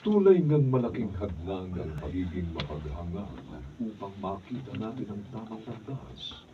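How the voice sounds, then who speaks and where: A man's voice: on the low side, big pitch swings. A man giving the solemn closing moral and credits of a radio drama. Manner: slow, solemn, measured. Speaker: narrator and announcer. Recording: muffled, noisy, from far off.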